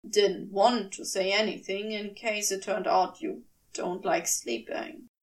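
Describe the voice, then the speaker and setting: A bashful male demon from a storybook, put on by a woman, pitched well below the narration and slightly off the mic. He is gruff but soft-hearted, stammering through short, awkward phrases at an unhurried pace. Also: little pitch movement, distant mic, close to an everyday voice, poor audio. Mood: sheepish, awkward. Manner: halting, stammering, clipped casual wording.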